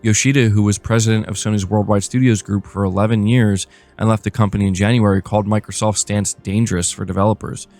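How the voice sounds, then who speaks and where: A man's voice: fairly low pitch, fairly even intonation, fast talker, a little mellow, soft background music. A man hosting a gaming news show, reading reports aloud and adding casual commentary.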